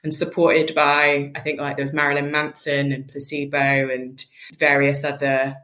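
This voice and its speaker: A woman in her late thirties from Wales talks as an interview guest. Her voice sits low and has a bright, forward edge. She is chatty and informal, in relaxed podcast conversation. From rural mid Wales. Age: late 30s. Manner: chatty, informal, quick to laugh. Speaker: interview guest, charity founder. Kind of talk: casual podcast chat.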